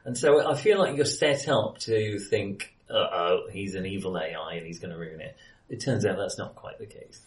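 A man's voice: deep voice, normal speed. Male co-host, conversational film chat with hedged asides, slightly distant in a reverberant room. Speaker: film discussion podcast co-host. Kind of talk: casual film discussion. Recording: roomy echo, distant from mic.

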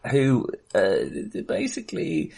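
A man's voice: medium pitch, wildly swooping pitch, takes it slowly, vocal fry, dark tone, breathy edge. A middle-aged British man in casual film discussion as a podcast co-host, often hesitant and searching for words.

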